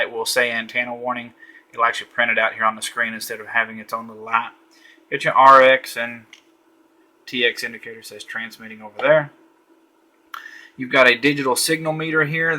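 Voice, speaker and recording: A man's voice: mid-range voice, sharp tone, rough recording. A man giving a casual, easygoing walkthrough of a radio at a home desk late at night, thinking aloud with frequent fillers. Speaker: radio reviewer.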